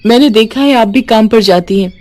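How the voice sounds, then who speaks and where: A woman's voice: fairly high pitch, a little fast, a little mellow, pitched above the performer's own voice, close to an everyday voice. A woman acts out a young widowed mother in a storybook tale. She speaks humbly and anxiously, politely pleading in a slightly raised voice. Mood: sad, pleading.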